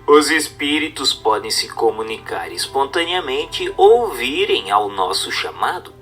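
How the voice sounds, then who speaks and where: A man reads a book as scripted narration, in a formal, didactic manner with measured emphasis. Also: mid-range voice, sing-song, fairly bright tone, soft background music. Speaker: audiobook narrator.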